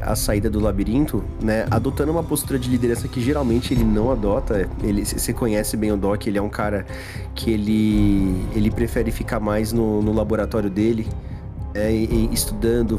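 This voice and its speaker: A man's voice: fairly low pitch, steady tone. Brazilian man improvising game narration, music behind. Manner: halting, repeats phrases while improvising. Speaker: tabletop role-playing player.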